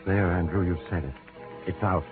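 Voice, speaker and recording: An actor playing an ominous, knowing narrator, deliberate and insinuating, in old-time radio suspense style. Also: male voice, low voice, fairly even intonation, close to an everyday voice. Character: ominous knowing narrator addressing the protagonist as you. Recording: dull vintage radio recording with music.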